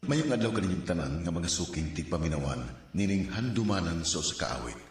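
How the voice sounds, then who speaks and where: A man's voice: sits low, sing-song, fast. An actor narrating and playing an earnest, tender young man in a radio drama. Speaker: narrator and lead.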